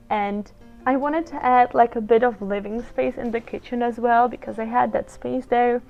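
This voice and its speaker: A woman with a Czech accent narrates a game build in a chatty, casual way. Her voice sits a little high, with a mellow tone and plenty of fry. She explains herself as she goes, unscripted. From Czech Republic. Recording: dull lo-fi voiceover with music. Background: background music.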